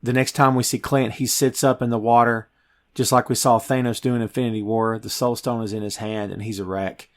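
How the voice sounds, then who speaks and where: A man's voice: medium pitch, little pitch movement, brisk. A man talks as a podcast host in a mid-range voice. He retells scenes informally and with emphasis, like someone chatting with a co-host. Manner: informal, emphatic storytelling, addressing co-host as dude. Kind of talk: casual film recap and discussion.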